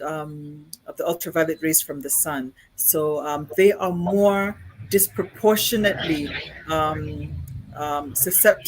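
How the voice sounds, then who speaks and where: A guest speaker from Kenya with a Kenyan accent, answering questions in a halting way and often repeating words while explaining. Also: mid-range voice, slight background noise, low-quality recording. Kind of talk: answering questions at an online conference.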